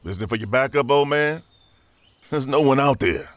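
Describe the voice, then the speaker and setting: An actor playing a cynical, distrustful gunslinger in a Western audio drama, sardonic and defiant, with a Southern American accent. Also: male voice, mid-range voice, expressive, moderate pace, a bit bright, close to an everyday voice. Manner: sardonic, suspicious.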